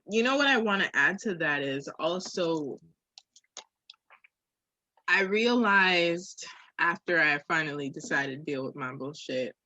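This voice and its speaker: Husky-voiced woman, candid and reflective. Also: lowish voice, pushed and bright, no room sound, rough recording. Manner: reflective, emphatic, profane. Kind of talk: candid casual conversation.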